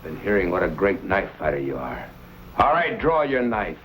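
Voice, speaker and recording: Cocky western knife fighter, low voice, taunts and threatens, bit of background noise. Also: male voice, sing-song, moderate pace, a bit bright, poor audio. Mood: taunting. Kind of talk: Western drama acting.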